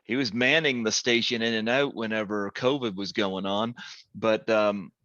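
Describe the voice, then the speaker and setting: A man's voice: lowish voice, steady tone, normal speed, a bit bright, no echo. A man hosting an interview, talking in a rambling way with lots of fillers. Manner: rambling, many ums and uhs. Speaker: podcast host. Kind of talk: casual interview chat. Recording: dry, muffled lo-fi audio.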